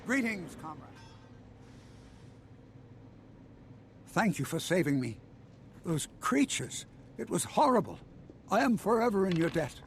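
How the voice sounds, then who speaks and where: High gravelly mole creature comrade for a game, scared n grateful, swoopy delivery, formal talk. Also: male voice, big pitch swings, normal speed, a little hiss or hum. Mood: shaken, grateful. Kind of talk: video game voice acting.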